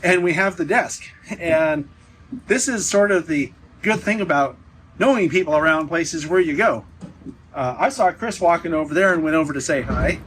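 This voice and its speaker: A man's voice: a little high, normal speed, a bit bright, a little hiss or hum, low-quality recording. A man hosting a vlog about railway preservation, talking casually and enthusiastically to camera outdoors at a rail yard. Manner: chatty, enthusiastic tour-guide talk. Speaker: host documenting railway preservation.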